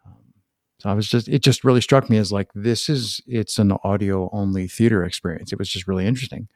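A man's voice: lowish voice, steady tone, quick pace, no room sound, clean studio sound. A man chatting casually as the interviewer on a podcast. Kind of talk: casual conversation.

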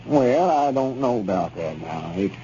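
A man plays a bossy know-it-all country storekeeper in a radio comedy. His mid-pitched voice is dark and mellow, with a rural Arkansas drawl, pompous and folksy, on a dull, noisy 1930s recording. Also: animated, a little slow, natural voice. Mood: eager, self-important.

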